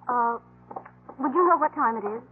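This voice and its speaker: A high-pitched, determined young frontier woman, played by an actress in an old western radio play. Her delivery is earnest and deliberate with a dry, sardonic edge. The sound is muffled and narrow, like a vintage broadcast. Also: faint music behind, lightly acted. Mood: feigned calm. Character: resolute young frontier woman, quick-witted, held captive with a baby. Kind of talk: 1950s radio drama acting.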